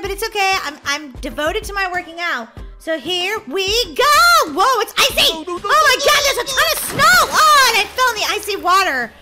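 An excitable, giggly woman hosting a gaming video with playful commentary and lots of exclamations. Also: high voice. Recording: music under the voice. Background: music.

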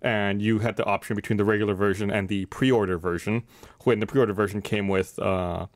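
Casting: American man, thirties, lowish voice, fast casual talker for a gaming podcast. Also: fairly even intonation, no echo. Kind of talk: casual chat. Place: indoor podcast studio. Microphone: desk studio microphone.

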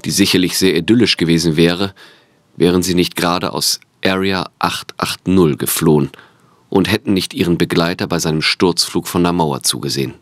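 A man's voice: deep voice, fairly even intonation, moderate pace, no room sound. A man reading scripted narration for an audio drama.

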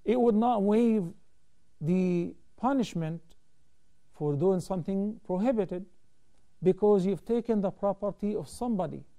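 A man in his thirties, an Islamic scholar hosting a call-in TV show, explaining rulings in a measured way from a studio desk on a lapel mic. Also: high-pitched, dark tone. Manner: measured, explanatory, gestures while talking. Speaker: Islamic scholar answering viewer questions. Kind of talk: call-in religious Q&A.

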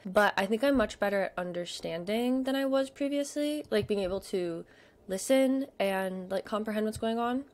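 Young woman, casual vlog chat, slight stutter, filler-heavy, murmured asides, mid-range voice. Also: mid-range voice. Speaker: vlogger. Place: home desk.

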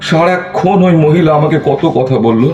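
A man's voice: on the high side, normal speed, darkish tone, dull audio, soft background music, close to an everyday voice. Man acting a stammering, guilt-ridden suspect, echoey. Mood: flustered, defensive. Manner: stammering, repeating words in agitation. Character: guilty, panicked man, a police suspect pleading innocence. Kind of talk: audio drama acting.

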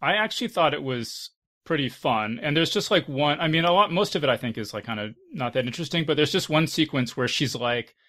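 A man's voice: on the high side, animated, fairly quick, sharp tone. A man hosting a podcast, talking frankly and conversationally in a casual film discussion. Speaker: podcast host. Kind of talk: casual panel discussion of films.

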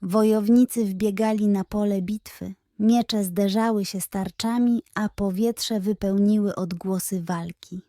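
A woman's voice: mid-range voice, steady tone, unhurried, hardly any pauses, warm tone, no echo, clean studio sound. A woman narrating a scripted children's story as the storyteller.

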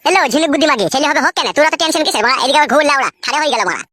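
A whiny cartoon husband from the village, put on by a voice performer, coarse and pleading. The voice sits high and races along at a very fast clip, nagging in broad comic style. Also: bright, forceful tone, no echo. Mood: annoyed, demanding. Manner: nagging, wheedling, coarse-mouthed. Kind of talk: exaggerated comic cartoon dialogue.

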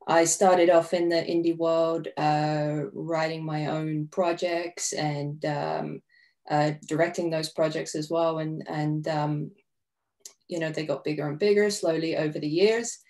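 A woman's voice: low voice, darkish tone. A woman writer-director talking casually about her work on a video-call panel, upbeat and chatty. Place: home room, video call.

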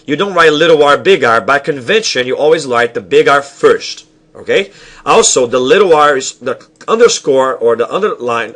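A young man records a lesson over slides at a webcam in a small room at home. His pitch sits in a middle register. He talks in halting bursts, restarts his sentences and keeps checking in with the viewer. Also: a bit bright. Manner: halting, self-correcting explanations with frequent restarts; asks the viewer questions and checks in with "all right?". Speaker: teacher. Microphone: webcam at desk.

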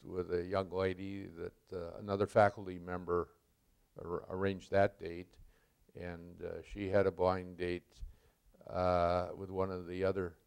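Deep gravelly older man, halting. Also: flat delivery, darkish tone. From Milwaukee, Wisconsin. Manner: slow, halting, many filler pauses. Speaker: retired chemistry professor. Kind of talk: oral history interview.

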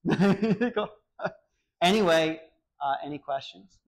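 A man in his thirties giving an animated technical talk at a meetup in a small cafe, often acting out example dialogue, recorded from a camera at a distance. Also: on the high side, slow pace. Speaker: meetup speaker.

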